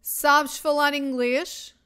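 A woman teacher with a European Portuguese accent, reading simple phrases twice each, clearly and deliberately, for learners. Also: high voice, level tone, bright, forceful tone. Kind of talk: slow repeated phrase drills for learners.

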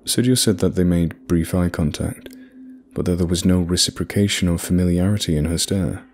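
A man narrating a horror story in a measured, scripted storytelling delivery. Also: sits low, a little mellow, slight background noise.

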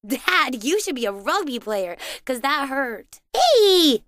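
Hyper little cartoon game boy, kinda high voice, super bouncy pitch, dramatic and braggy, dry close sound. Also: slow pace, no room sound, full character voice. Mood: casual, teasing. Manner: excitable, dramatic, childlike. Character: boisterous young boy, imaginative, boastful cartoon son. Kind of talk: animated video game voice acting.

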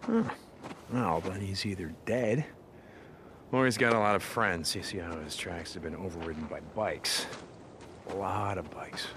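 Gruff low-voiced American drifter. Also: male voice, measured pace, noisy background. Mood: dry, blunt. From Oregon, United States. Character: rugged, cynical male drifter and tracker, weary and blunt. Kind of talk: naturalistic video game acting.

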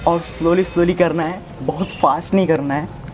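High-voiced young man coaching over music. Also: fairly even intonation, moderate pace. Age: 20s. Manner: casual, instructive, repeats phrases. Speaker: amateur home fitness instructor. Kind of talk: workout demonstration talking to viewers. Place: outdoors in a brick-walled yard or rooftop. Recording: loud music behind voice.

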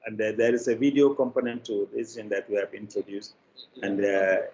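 An older man with a Nigerian accent answers interview questions in a low, mellow voice. He is on a video call indoors, so the sound is dull with faint noise behind it. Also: little pitch movement, a little fast. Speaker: interview guest, media producer. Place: small furnished room indoors, video call. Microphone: video call, device mic.

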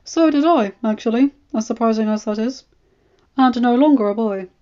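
Scheming male spymaster, played by a woman, high voice, knowing, indulgent, formal, fantasy storybook. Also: normal speed, dark tone, highs cut off, close to an everyday voice. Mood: knowing, insistent. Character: shrewd, smooth, scheming male royal spymaster.

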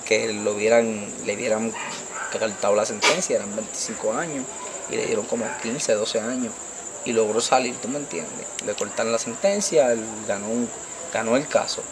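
A young Dominican man with a Puerto Rican accent telling his story in a street interview, animated and checking the listener follows him, into a handheld mic outdoors at night. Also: on the low side, noisy background. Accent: Puerto Rican Spanish. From Dominican Republic. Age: young adult. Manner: animated, gesturing, repeats "tú me entiendes". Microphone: handheld mic held by interviewer.

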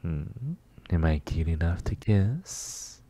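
Man playing a husky, slow-talking flirty neighbor. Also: low-pitched, mellow. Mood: tender, flirty. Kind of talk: intimate roleplay ASMR.

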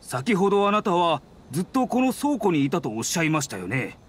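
A man's voice: on the high side, sing-song, brisk. A man playing a polite, anxious editor in an anime game, deferential and formal. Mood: polite concern. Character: polite, anxious male publishing-house editor. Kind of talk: Japanese anime game voice acting. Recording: background music behind voice.

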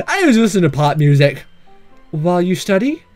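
A man with a high, very animated voice gives casual gaming commentary. He is goofy and theatrical, reacting and joking as he plays, with music in the recording. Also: normal speed, no room sound. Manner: goofy, jokey, theatrical exclamations. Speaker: gaming video host reading and reacting. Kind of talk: casual let's-play commentary.

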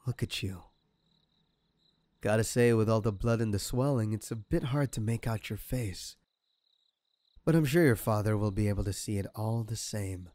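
Sadistic male crime boss, played by a man for audio roleplay: lowish, unhurried, mocking, menacing, recorded close and dry. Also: mellow, no echo, lightly acted. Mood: gloating menace. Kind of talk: ASMR audio roleplay acting.

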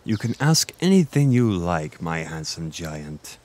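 A man playing a flirty, gentle Irish innkeeper in an intimate, conversational roleplay audio, with a teasing, self-deprecating manner. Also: low-pitched, very animated, a little slow. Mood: flirty, fond.

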